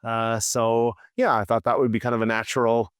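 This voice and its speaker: A middle-aged man speaks as a historian guest, easygoing and full of "you know" hedges. His voice is smooth and resonant at a middle pitch, recorded dry and close. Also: moderate pace, no echo. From Canada. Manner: relaxed, hedging with "you know" and "uh". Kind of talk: casual interview.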